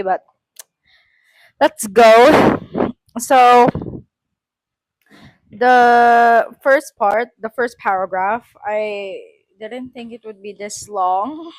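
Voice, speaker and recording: A woman's voice: mid-range voice, dry recording. A woman with a Filipino accent reads her own school essay aloud, breaking off for chatty, self-correcting asides.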